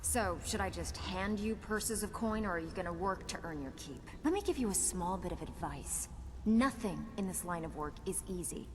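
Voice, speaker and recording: Cocky, low-pitched female thief acted by a woman. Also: lively intonation, moderate pace, natural voice. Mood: brusque, lecturing. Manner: brusque, boastful, no-nonsense. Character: cocky, hard-edged female master thief. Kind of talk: fantasy video game dialogue. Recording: game audio with background noise.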